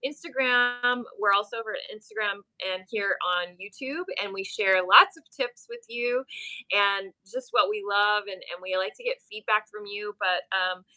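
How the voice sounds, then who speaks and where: A woman's voice: mid-range voice, moderate pace, pushed and bright, rough recording. A woman hosting a live stream as a pattern making teacher, chatting casually, reading viewer comments and using frequent fillers. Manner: friendly, reads chat aloud, many fillers.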